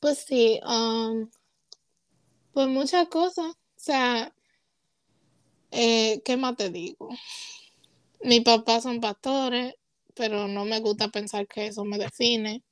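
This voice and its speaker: Young woman, higher voice, casual hesitant guest, dry close mic. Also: takes it slowly, pauses often, smooth, no room sound. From Puerto Rico. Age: young adult. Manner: relaxed, hesitant, mixes English words into her Spanish. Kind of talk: casual conversation.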